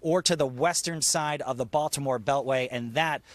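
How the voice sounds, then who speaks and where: A man's voice: medium pitch, normal speed, no room sound. A man reporting for TV news, moving between narration and live explanation from the field.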